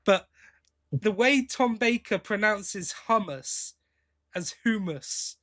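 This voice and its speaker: A man's voice: high voice, lively intonation, takes it slowly, bright, forceful tone. A man with a British accent co-hosting a podcast, chatting casually in a blokey, teasing way. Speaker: podcast co-host. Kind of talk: casual chat, fan review.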